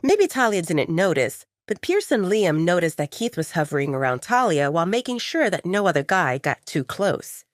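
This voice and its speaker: A woman's voice: lowish voice, sing-song, normal speed, few pauses, no room sound, clean studio sound. A woman reading scripted romantic fiction as an audiobook narrator, telling the story expressively in the third person.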